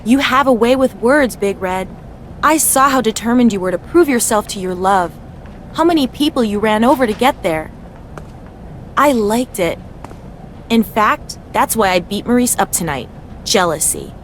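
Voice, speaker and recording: Video game wrestler voiced by a woman, tough yet seductive, fairly high voice, noisy backdrop. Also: animated, moderate pace, natural voice. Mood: flirtatious. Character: tough, flirtatious female pro wrestler. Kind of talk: scripted wrestling game cutscene acting.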